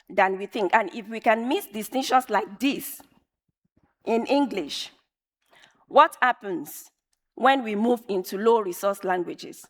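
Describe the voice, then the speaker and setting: A woman whose first language is Yoruba, giving a measured, emphatic conference talk and repeating phrases for effect. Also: on the high side. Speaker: conference speaker. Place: large conference hall. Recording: long reverb of a large hall.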